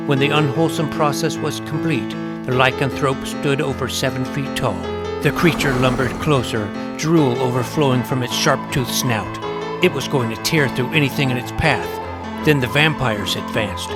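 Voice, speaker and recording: A man's voice: mid-range voice, natural voice. An actor narrating an audio drama in the first person as a plucky, self-assured boy detective recounting his adventures. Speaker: narrator and lead character. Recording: loud dramatic music under narration.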